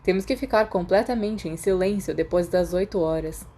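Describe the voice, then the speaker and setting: Woman reading as soft-spoken, wry Brazilian teenage boy. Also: fairly low pitch, normal speed, lightly acted. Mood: amused. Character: teenage schoolboy, gentle, wry, understated.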